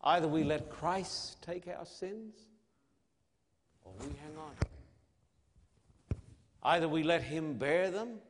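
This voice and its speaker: A man preaching an evangelistic sermon in a solemn, emphatic manner, with dramatic pauses and rhetorical questions. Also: on the high side, slight background noise. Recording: long hall reverb.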